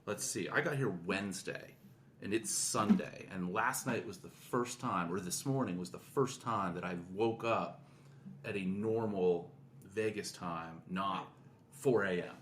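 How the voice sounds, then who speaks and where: Middle aged guy with a deep low voice chatting on a podcast, faint noise behind him. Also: unhurried, fairly bright tone. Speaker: podcast co-host. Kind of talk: casual podcast chat.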